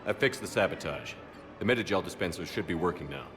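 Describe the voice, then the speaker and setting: An actor playing a steady human soldier commander in a video game cutscene, speaking in a calm, dry, deadpan way. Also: male voice, sits low, fast talker, music in the background.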